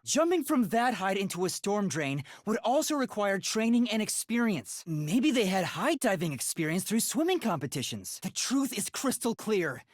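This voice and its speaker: Husky, boyish young detective. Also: male voice, sits high, no echo, studio quality. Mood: determined. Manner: earnest, deliberate reasoning, easily flustered. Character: earnest, timid young male detective, boyish and anxious. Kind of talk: video game voice acting.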